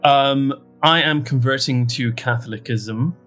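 A man's voice: medium pitch, a little slow, fairly bright tone. Jokey male podcast host over guitar music. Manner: jokey, rambling, self-mocking. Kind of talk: casual comedic chat.

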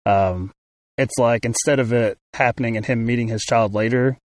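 A man's voice: medium pitch, fairly even intonation, normal speed, no room sound. A man co-hosting a casual film discussion, talking thoughtfully with frequent restarts and fillers. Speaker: film podcast co-host.

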